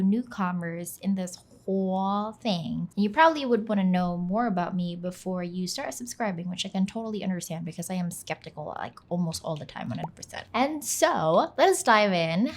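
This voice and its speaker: A young woman from the Philippines, whose first language is Filipino, talking casually in a voice-over for her channel, chatty and self-deprecating, with plenty of "like.". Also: medium pitch. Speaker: YouTuber, channel host. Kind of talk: casual talk to camera. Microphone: voice-over, not on camera.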